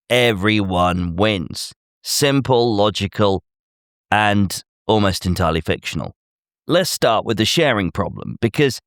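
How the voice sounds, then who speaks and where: Male podcast host, lively and swooping delivery, wry and emphatic, addressing the listener directly in a dry studio sound. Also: on the low side, dry recording. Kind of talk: scripted podcast commentary.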